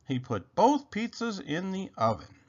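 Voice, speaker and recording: Very animated, slow-paced male storybook reader. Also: high-pitched, dull audio. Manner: expressive, dramatic storytelling. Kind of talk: children's read-aloud narration.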